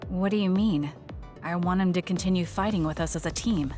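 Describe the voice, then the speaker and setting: A young woman playing a composed, blunt and earnest platoon fighter in an anime game dub, with a measured, matter-of-fact delivery. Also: on the low side, soft background music, lightly acted. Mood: concerned.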